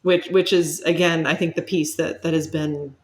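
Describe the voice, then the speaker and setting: A woman's voice: low voice, steady tone, normal speed. A woman speaking as an expert guest in a conversational interview, explaining thoughtfully and building her points step by step.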